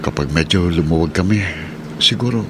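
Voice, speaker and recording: Deep-voiced Filipino jeepney driver. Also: male voice, lively intonation, a little fast. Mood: brooding, troubled. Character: weary, hard-up jeepney driver and family father. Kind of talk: Filipino radio drama acting. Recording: vehicle noise behind.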